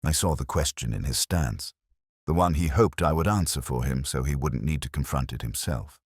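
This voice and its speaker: A man narrating a literary detective story in the first person, in a solemn, measured and brooding manner. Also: rumbling low, husky, no echo, clean studio sound.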